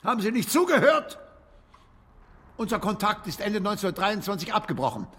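An actor playing a proud, indignant older gentleman spiritualist in a radio drama, pompous and condescending. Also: sits high, big pitch swings, fairly quick, husky, long reverb tail, exaggerated voice. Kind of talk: German radio drama acting.

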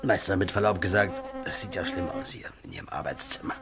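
An actor playing a blunt, cheeky gardener servant in a radio play, folksy and grumbling. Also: male voice, lowish voice, huge pitch swings, a little fast, vocal fry, very noisy, full character voice. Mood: wry. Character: blunt, cheeky, earthy male gardener servant with wry humour. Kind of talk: German radio play acting.

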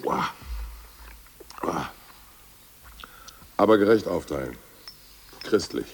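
A man's voice: low-pitched, expressive, takes it slowly, vocal fry, background noise, full character voice. An actor playing an ousted male party boss, scheming and sarcastic, in a 1980s radio drama, with a dry, mocking way of talking.

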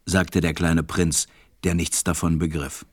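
A man narrating a scripted children's story in a German radio play, with measured storytelling. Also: deep voice, level tone, a little mellow, dry recording, studio quality.

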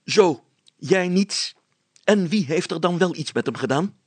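A man's voice: high voice, expressive, measured pace, husky, no echo, voice pushed up, heavily acted. A man voicing an irascible, bossy schoolmaster in a storybook, blustering and demanding. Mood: furious. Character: irascible, bossy schoolmaster interrogating suspects, male. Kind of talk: dramatized storybook reading.

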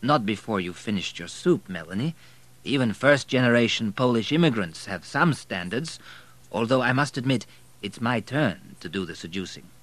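Guy doing a wry self-made hotel tycoon, a bit higher than his own voice, bright. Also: animated, moderate pace. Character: self-made immigrant hotel magnate, wry middle-aged man.

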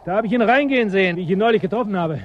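A high-voiced, gruff frontier guide played by a man in an early-eighties radio play. He talks bluntly, with sardonic mockery. There is clear noise and ambience around the voice. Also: rapid-fire, lo-fi sound, natural voice. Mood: dry. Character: gruff, mocking male guide living at the ice frontier. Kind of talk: German radio drama acting. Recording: noisy early-1980s radio drama with ambience.

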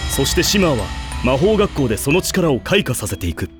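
A man's voice: mid-range voice, sing-song, a little fast, over loud music, natural voice. A man playing a dramatic fantasy trailer narrator in an anime audio parody, portentous and theatrical.

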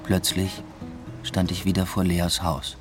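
Secretive restless lover, low register, understated, matter-of-fact, literary radio play. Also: male voice, monotone, a little slow, faint music behind. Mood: reflective, subdued. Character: secretive, restless man having an affair. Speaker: first-person narrator and lead actor. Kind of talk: literary radio drama, intimate narration and dialogue.

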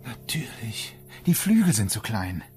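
An actor playing an apologetic, awkward space engineer in a radio play, speaking with halting politeness. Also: male voice, on the low side, very animated, darkish tone, soft background music. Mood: absorbed realization.

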